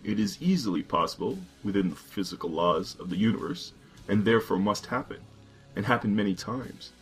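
A man doing Death, deep and grave. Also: lively intonation, faint music behind, close to an everyday voice, low-quality recording. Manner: slow, grave, measured. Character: Death, calm ancient male reaper.

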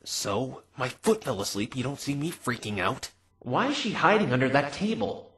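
A man's voice: medium pitch, very animated, normal speed, a bit bright, slightly rough. A man playing an easily flustered, exasperated young lawyer in a cartoon, with a dry, stammering manner. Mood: thoughtful, dry.

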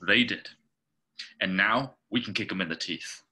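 A man's voice: lowish voice, normal speed, bright, forceful tone, rough recording. Man reading a pushy, urgent trader. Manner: urgent, insistent, pressing. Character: pushy anxious male fund trader. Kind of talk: amateur table read. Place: small home room. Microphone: webcam on a video call.

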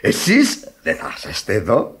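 An actor playing an eager, good-natured Sicilian immigrant truck driver in a radio play, animated and courteous. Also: male voice, lowish voice, creaky, heavily acted. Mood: earnest. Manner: animated, courteous, Italian interjections. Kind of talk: Greek radio theatre acting. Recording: roomy old radio drama recording.

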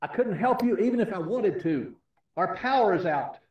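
A man's voice: high-pitched, expressive, normal speed, highs cut off. An actor playing a wary, unwelcoming small-town radio station man in a game radio drama. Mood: wary, dismissive. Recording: distant, echoey, noisy.

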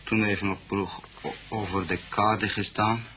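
A wary, simple foreigner under questioning in a radio play. His voice is deep yet sharp-edged, and he answers in short, broken, halting phrases. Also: flat delivery, a little hiss or hum. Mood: recounting. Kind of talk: radio drama dialogue.

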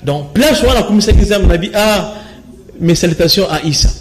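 A man's voice: high voice, normal speed, noisy background. A young man with a Congolese accent, speaking French mixed with Lingala as a guest in a TV studio, gesturing and often restarting his sentences. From Kinshasa, Congo. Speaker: studio guest, local resident. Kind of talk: studio interview, animated talk. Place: TV studio with screen backdrop.

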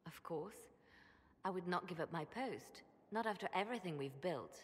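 A woman acting an earnest, conscience-torn spymaster with a measured, solemn delivery in a video game. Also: on the low side, lively intonation, natural voice. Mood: earnest, resolved. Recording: music behind the voice.

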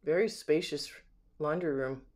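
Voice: medium pitch, measured pace, a little mellow. A home sales agent giving a casual, unscripted walkthrough narration, admiring and describing things item by item, recorded on a handheld camera inside an empty house. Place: indoors, rooms of an empty house.

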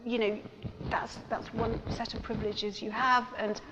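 A woman's voice: a little high, little pitch movement, moderate pace, big-room sound, muffled recording, noisy background. A woman speaking on a panel, searching for words with many fillers and restarts. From Pakistani heritage. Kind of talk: panel discussion.

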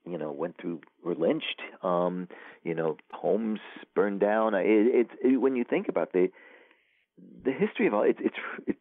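Male author guest, very deep voice, halting and grave, phone-quality audio. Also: lively intonation, no echo. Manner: halting, many restarts, somber. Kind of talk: casual interview talk. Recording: tinny phone line.

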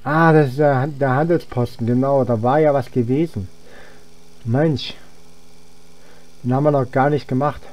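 A man's voice: medium pitch, fairly even intonation, moderate pace, pauses often, a little mellow. A young man giving casual gameplay commentary, rambling and thinking aloud as he plays. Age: young adult. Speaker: let's player.

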